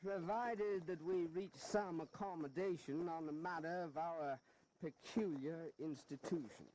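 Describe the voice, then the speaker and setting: A man's voice: fairly high pitch, unhurried, darkish tone, slight background noise, lightly acted, rough recording. An actor playing a wily, genteel statesman in a historical audio drama, drawling and folksy. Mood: bargaining. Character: genteel, wily Southern statesman defending slavery, male.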